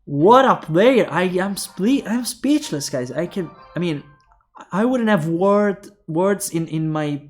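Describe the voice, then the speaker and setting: A young man with an Italian accent casting a mobile game tournament alone, calling plays quickly and thinking aloud to viewers. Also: sits high, lively intonation, faint music behind. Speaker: esports caster. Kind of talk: solo esports play-by-play commentary.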